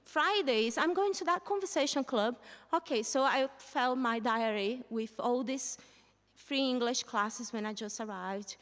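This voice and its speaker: A woman's voice: on the high side, measured pace, a bit bright. A woman from Brazil whose first language is Portuguese, with a Brazilian accent, in her thirties, telling her story live to an audience in a chatty way. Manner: chatty, laughs between lines. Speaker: guest speaker. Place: live venue stage with audience. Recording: long hall reverb.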